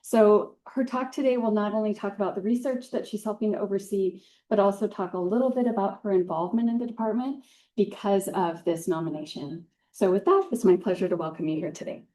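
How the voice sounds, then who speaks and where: Woman with a mellow voice, introducing a speaker. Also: mid-range voice, brisk. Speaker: department host introducing the speaker. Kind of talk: formal seminar introduction.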